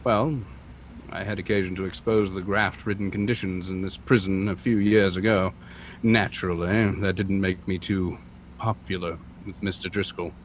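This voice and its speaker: Man playing a low-voiced suave gentleman sleuth. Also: fairly even intonation, moderate pace, close to an everyday voice. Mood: composed. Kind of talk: old-time radio drama acting. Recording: noisy vehicle ambience behind.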